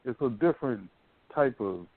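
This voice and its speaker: A man's voice: medium pitch, expressive, normal speed. An American man hosting a casual call-in radio discussion, talking in a rambling, colloquial storytelling way. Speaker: radio show host. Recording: narrow phone-like sound.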